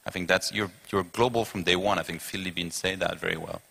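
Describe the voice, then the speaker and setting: A man with a French accent speaking on a conference panel, eager and quick, often correcting himself mid-sentence. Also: low-pitched, steady tone, vocal fry, fairly bright tone, husky. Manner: fast, eager, self-correcting talker.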